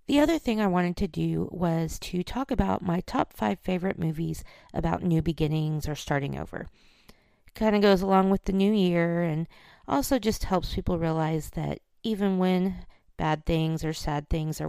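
A woman hosting a podcast solo, talking in a casual, chatty, self-deprecating way, her voice scratchy and hoarse. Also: lowish voice, mellow, no room sound, clean studio sound. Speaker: podcast host.

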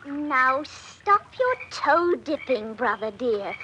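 High slow country girl, greedy n bossy, old radio play with loud noise. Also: big pitch swings, full character voice. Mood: bossy, urging. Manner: theatrical rustic archaic speech. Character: greedy, coaxing, bossy young country sister. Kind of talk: classic radio drama acting. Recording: noisy old radio recording.

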